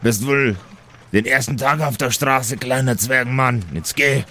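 A man's voice: mid-range voice, unhurried, sharp tone. A man voicing a surly homeless man in a fantasy game, mocking and goading whoever he faces.

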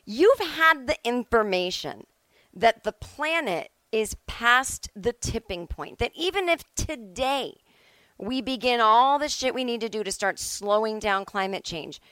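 A woman's voice: a little high, expressive, a little slow, fairly bright tone, no echo. A middle-aged woman hosting a solo podcast, talking casually and bluntly, with emphatic, sweary opinions. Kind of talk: casual opinionated monologue, ranting. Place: at home.

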